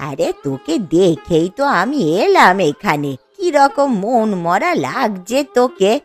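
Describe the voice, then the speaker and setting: A woman voicing a caring older village mother in a cartoon, gentle and coaxing. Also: a little high, sing-song, unhurried, faint music behind. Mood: concerned. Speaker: cartoon character.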